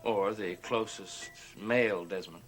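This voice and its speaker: An actor playing a scheming, evasive occultist relative in a gothic television soap, speaking in a measured, conspiratorial way. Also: male voice, fairly low pitch, soft background music, close to an everyday voice. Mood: sly, insinuating. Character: scheming, smooth male occultist relative after an inheritance.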